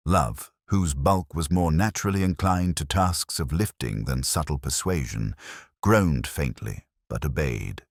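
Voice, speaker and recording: A man reading a literary mystery story as narrator, in a measured, dryly wry manner. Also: extremely low pitch, dry recording, clean studio sound.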